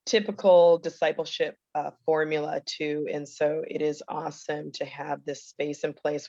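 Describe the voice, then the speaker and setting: A woman in her forties, a youth ministry leader, speaking in a measured, reflective way with frequent ums on a panel. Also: low-pitched, no room sound, lo-fi sound. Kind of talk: panel discussion. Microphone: video call.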